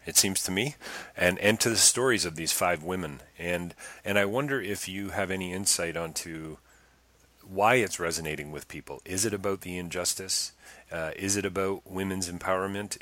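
A man's voice: low-pitched, sharp tone, no echo. A man interviewing a guest, asking thoughtful questions with stammering restarts.

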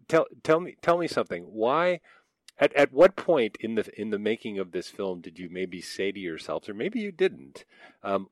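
Deep-voiced male radio interviewer. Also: no room sound. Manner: energetic, laughing, repeats words as he starts.

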